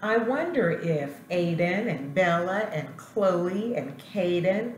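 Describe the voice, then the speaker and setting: A woman principal reading a storybook aloud to young children, expressive and speaking to them directly. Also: lowish voice, slow pace. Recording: roomy echo, light background noise.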